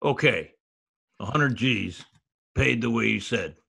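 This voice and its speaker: Casting: male actor as gruff mob boss, sharp bright edge with some fry, slangy and bullying, 1940s radio style. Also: medium pitch, lively intonation, slow, heavily acted. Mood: indignant, tough. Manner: tough, slangy, forceful. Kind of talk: 1940s radio crime drama acting. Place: home, recorded over video call.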